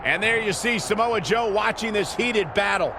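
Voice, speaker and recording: A man playing an excited wrestling play-by-play announcer, calling the action in an emphatic announcer's delivery. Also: sits high, normal speed, creaky. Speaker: lead wrestling play-by-play commentator. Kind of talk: pro wrestling commentary. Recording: loud crowd and slamming arena noise.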